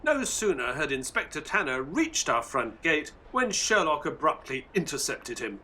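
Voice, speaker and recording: Courteous period doctor, detective's sidekick, slightly high voice, lively intonation, mild, audio drama storyteller. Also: male voice, normal speed, a little hiss or hum. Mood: narrating. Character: affable, polite Victorian doctor, detective's companion, narrating. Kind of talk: audio drama narration and dialogue.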